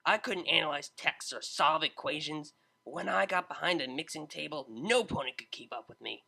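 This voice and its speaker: Male reader as a young dropout DJ mare, breezy and slangy, slightly high and gravelly. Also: normal speed, sharp tone, heavily acted. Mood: passionate. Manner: casual, slangy, a bit self-mocking. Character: laid-back young female unicorn DJ, a blunt dropout. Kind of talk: fan audiobook character voice.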